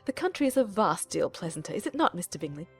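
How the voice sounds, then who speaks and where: A woman as a fussy middle-aged mother, effusive, chattering, lively intonation, audiobook drama, music behind. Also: on the low side, fast. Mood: indignant, triumphant. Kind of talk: dramatized audiobook character reading.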